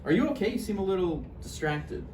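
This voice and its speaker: A woman's voice: medium pitch, expressive, a little fast, lightly acted. A woman playing a nervous, joking boyfriend in a stage play table read, conversational, hesitant and apologetic. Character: Roger, nervous joking boyfriend, defensive and eager to please. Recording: roomy, distant mic, faint noise.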